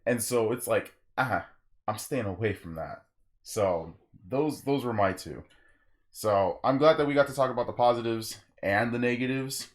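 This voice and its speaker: A man's voice: mid-range voice, moderate pace. Young man hosting a casual podcast. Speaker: podcast host. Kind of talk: casual opinionated gaming podcast discussion.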